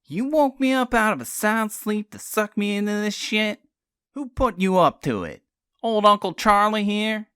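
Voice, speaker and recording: Audiobook role: mocking male rogue, middle-aged, female narrator performing, crude, defensive, sarcastic, swinging pitch. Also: big pitch swings, a little slow, a bit bright, heavily acted. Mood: sneering.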